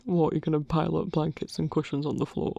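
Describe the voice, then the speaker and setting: A man playing a grumpy but tender werewolf lover in human form, speaking hesitantly with sighs and a husky, gravelly voice in an intimate ASMR roleplay. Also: on the high side, little pitch movement, dark tone, no echo, lo-fi sound. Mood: bashful, incredulous. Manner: halting, hesitant, sighing, gruffly bashful.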